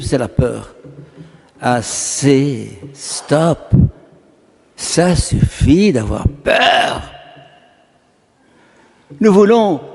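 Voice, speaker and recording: A man giving an impassioned public speech, slow and declamatory, stacking word after word for effect. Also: on the high side, darkish tone. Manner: emphatic, slow and declamatory, lists words in a row. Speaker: speaker at a public meeting. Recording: long hall reverb.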